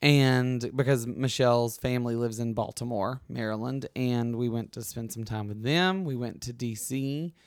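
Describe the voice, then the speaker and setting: Male podcast host, young adult, slightly hoarse, chatty and rambling, dry close mic. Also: mid-range voice, moderate pace, few pauses, no room sound. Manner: chatty, rambling, with frequent restarts. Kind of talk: casual conversational podcast chat.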